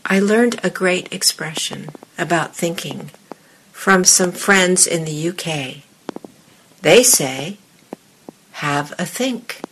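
A woman meditation teacher speaking to a group in measured, deliberate short phrases with pauses, her voice husky and breathy. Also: lowish voice, fairly bright tone, a little hiss or hum, low-quality recording. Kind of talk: guided meditation and dharma talk to a group in the room.

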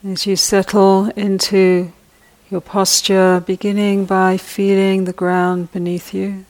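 A woman meditation teacher leading a guided meditation, speaking soft, gentle instructions with long pauses between phrases. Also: medium pitch, monotone, low-quality recording.

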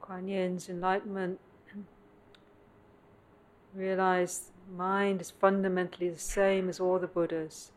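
Low, even-voiced woman guiding meditation. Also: low-quality recording. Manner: contemplative, measured phrases with long pauses. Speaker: meditation teacher. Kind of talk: guided meditation. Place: meditation center, live session. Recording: clear background noise, live room ambience.

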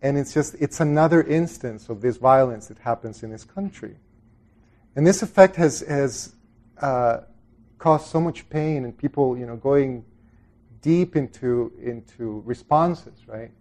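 A man's voice: mid-range voice, measured pace, a little mellow. A middle-aged man from El Salvador giving an informal dharma talk to a group, conversational and reflective, often trailing off into asides. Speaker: Buddhist meditation teacher.

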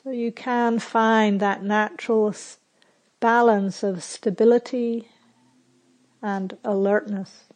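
Woman leading a guided meditation, real slow and gentle, mellow warm voice. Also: medium pitch, fairly even intonation. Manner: slow, soft, unhurried with long pauses. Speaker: meditation teacher. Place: retreat center.

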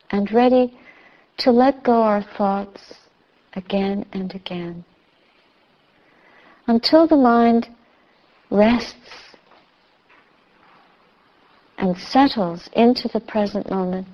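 A woman meditation teacher giving guided instruction in a measured, soothing way, with long pauses between phrases. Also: mid-range voice. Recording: slightly dull, muffled recording.

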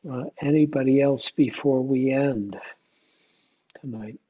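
Man, husky, muffled call audio, meditation teacher, informal question-and-answer style. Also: mid-range voice, a little slow. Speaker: meditation teacher leading a group discussion.

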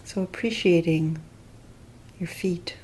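A woman with a dark, relaxed, fairly low voice leads a guided meditation. She speaks very slowly and gently, leaving long pauses between short, reflective instructions. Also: slight background noise, rough recording. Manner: unhurried, gentle, reflective phrasing. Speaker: meditation teacher leading a guided body scan.

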